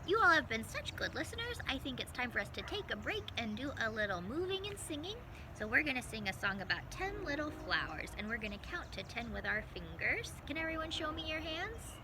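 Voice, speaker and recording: A woman hosting story time for young children, reading aloud in an animated, encouraging way with simple phrasing. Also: high-pitched, brisk, hardly any pauses, noisy background.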